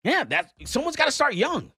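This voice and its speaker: Talk radio host, excitable, ranting, swooping pitch, dry studio sound. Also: normal speed, dry recording. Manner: excitable, ranting, laughs often. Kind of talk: animated talk radio chat.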